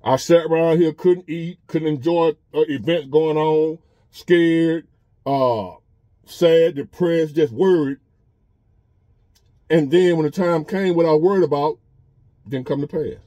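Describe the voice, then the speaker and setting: A man's voice: a little high, slow, mellow, no room sound. A man, talking earnestly and repetitively to his phone camera from a parked truck, like a casual motivational talk. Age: 50s. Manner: earnest, repetitive, conversational preaching. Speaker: vlogger.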